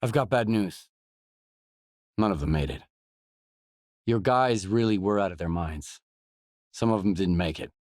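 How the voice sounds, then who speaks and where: A low male voice for a weary, guarded mercenary in a video game. He talks in short, blunt, businesslike lines, matter-of-fact and gruff. The sound is close and dry, with no room around it. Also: expressive, measured pace, pauses often, a little mellow, no room sound. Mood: grim.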